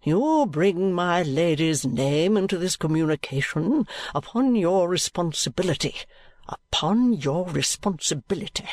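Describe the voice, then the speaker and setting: A man's voice: on the high side, gravelly, dry recording, exaggerated voice. Man putting on a haughty old baronet. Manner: stiff, haughty, slow and deliberate. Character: proud elderly aristocratic baronet, stiff and indignant. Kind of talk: audiobook character reading.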